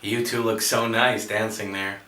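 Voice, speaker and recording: A man performing a stage monologue as a gentle, dreamy, friendly bar-goer who speaks haltingly and rambles. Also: fairly low pitch, little pitch movement. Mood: fond, genial. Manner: hesitant, rambling, halting with repeated words. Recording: echoey room, distant microphone.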